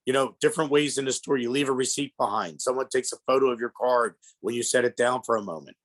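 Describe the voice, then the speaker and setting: A man's voice: medium pitch, fast talker, fairly bright tone. A middle-aged man with a head cold, sounding congested, giving a plainspoken presentation to an audience. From Pennsylvania. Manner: plainspoken, explanatory, addresses audience as folks. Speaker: guest speaker on elder fraud. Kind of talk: public presentation.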